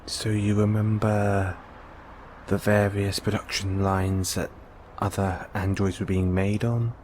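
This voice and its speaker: A man playing a gentle, caring android owner in an intimate one-sided audio roleplay, coaxing and inquisitive, with long pauses. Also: fairly low pitch, level tone, slight background noise. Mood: curious.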